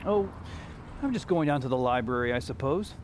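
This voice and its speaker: A man's voice: mid-range voice, expressive, fairly quick, lightly acted. An actor playing a restless, kindhearted young man in a radio drama, with a hesitant, folksy way of talking. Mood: awkward, friendly. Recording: faint background noise.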